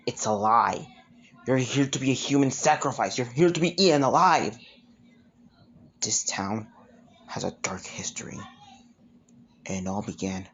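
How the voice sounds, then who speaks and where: A person performing an amateur horror monologue, telling a dark backstory in a grave, urgent, gravelly way. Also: medium pitch, a little hiss or hum. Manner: grave, urgent, ominous storytelling. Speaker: amateur voice actor.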